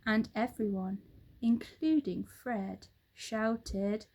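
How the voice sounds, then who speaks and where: A woman storyteller reading a children's book aloud with expressive narration. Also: mid-range voice, very slow.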